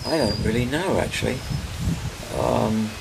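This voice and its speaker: An older man on a countryside porch, recalling his film career in a rambling, halting way, often searching for names. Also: medium pitch, gravelly. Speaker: interviewee, film director. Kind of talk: casual reminiscing interview. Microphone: camera mic, medium distance. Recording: loud outdoor background noise.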